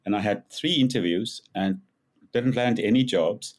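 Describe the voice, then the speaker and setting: Older South African man, lower voice, animated guest storyteller. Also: measured pace. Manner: animated storyteller, rises when acting out quotes. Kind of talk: casual interview storytelling.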